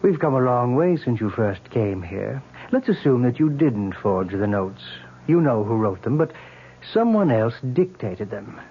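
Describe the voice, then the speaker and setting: An actor playing a composed male psychiatrist who probes with measured questions, in old-time radio drama style on a thin vintage recording. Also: medium pitch, big pitch swings, slightly rough, lo-fi sound, full character voice. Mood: patient, leading.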